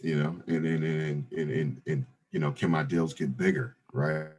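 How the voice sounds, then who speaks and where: A man around forty with a deep voice, talking casually as a guest on an interview podcast, with many fillers and restarts. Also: steady tone, moderate pace, a little mellow, husky. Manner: laid-back, many fillers and restarts, says "brother" and "right?". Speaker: real estate investor guest.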